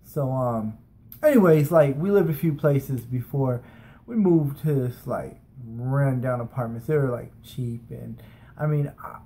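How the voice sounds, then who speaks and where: A man's voice: medium pitch, measured pace, dark tone. An American man in his thirties telling personal stories in a casual, informal, rambling way, like a vlogger talking to a camera in a bedroom. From United States, from San Francisco and Las Vegas. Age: 30s. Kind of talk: casual chat, anecdotal storytelling. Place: small bedroom, indoors. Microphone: webcam or camera at desk distance.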